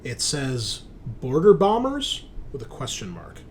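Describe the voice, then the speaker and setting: A man's voice: mid-range voice, huge pitch swings, unhurried, a little mellow. A man narrating a tabletop roleplaying game as game master, explaining the setting in a conversational way. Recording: clearly noisy background.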